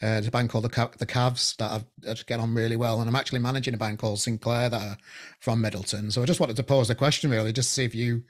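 A man in his forties chats easily as a podcast host, often stumbling and restarting his sentences. His voice sits at an ordinary middle pitch. He speaks into a boom mic on a headset from a room at home, over a video call. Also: steady tone. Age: 40s. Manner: chatty, halting, many restarts. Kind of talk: casual interview chat.

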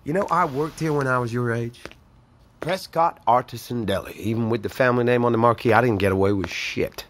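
A man's voice: medium pitch, fairly quick, natural voice. A man playing a breezy, needling small-town store owner in a naturalistic audio drama, folksy and amused. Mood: teasing, relaxed. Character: breezy, teasing small-town male store owner, older than his employee.

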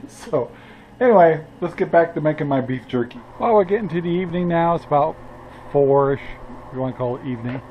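Older man, folksy rambling talk, cooking vlog host, dull lo-fi camera audio, clear background noise. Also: fairly high pitch, expressive, a little fast. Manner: folksy, rambling, many "uh"s, chuckles. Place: outdoor yard beside a grill, and indoors in a cluttered room.

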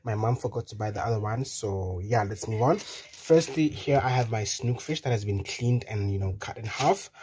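A man's voice: lowish voice, animated, normal speed, darkish tone, a little hiss or hum. A young man from South Africa with a South African accent, chatting through a recipe in his home kitchen and talking straight to viewers with lots of casual fillers. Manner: chatty, addresses viewers directly, many "you know" fillers. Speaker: cooking channel host.

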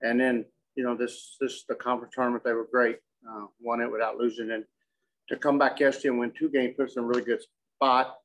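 A middle-aged man, a coach answering questions at a postgame press conference in a measured, halting way, often repeating words. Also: medium pitch, flat delivery, a bit bright, poor audio. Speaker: softball coach. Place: indoor press conference room with curtain backdrop.

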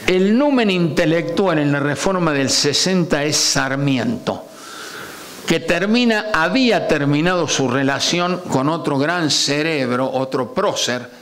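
Older argentine guy lecturing at a panel table, kinda high voice, drags out words for emphasis, table mic. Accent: Argentine Spanish. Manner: animated, stretches key words slowly for emphasis, asks rhetorical questions. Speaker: lecturer on constitutional history. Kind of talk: academic lecture. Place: conference room at a panel table. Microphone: table gooseneck microphone.

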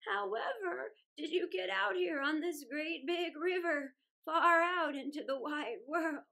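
A woman's voice: very high voice, a little slow, creaky, bright, forceful tone, pitched above the performer's own voice, full character voice. A woman voicing a kindly, coaxing very old woman in a children's storybook, doting and drawn out in her speech. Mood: pitying.

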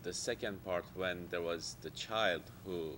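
Audience man, very low voice, hesitant with fillers, faint room rumble. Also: measured pace, fairly bright tone. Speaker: audience member asking a question. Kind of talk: asking a question.

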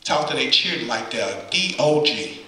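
Middle-aged male preacher, bright-toned, folksy, repeats himself for emphasis, caught by a distant camera in a ringing church. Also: medium pitch, expressive, moderate pace. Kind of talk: conversational sermon with call and response. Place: church sanctuary at the pulpit. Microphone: far camera, pulpit mic. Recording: echoey church from far camera.

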